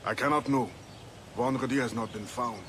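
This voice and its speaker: An actor playing a weary, dignified freed-slave sea captain from the Caribbean, speaking formally and deliberately in a game cutscene. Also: male voice, medium pitch, close to an everyday voice. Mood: sorrowful, grave. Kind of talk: dramatic game cutscene acting. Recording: clear background noise and ambience.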